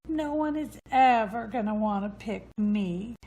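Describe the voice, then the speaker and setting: A woman's voice: a little high, unhurried, mellow. A woman voicing a lonely, wistful pair of little boots in a children's storybook. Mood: sad, resigned. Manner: wistful, earnest.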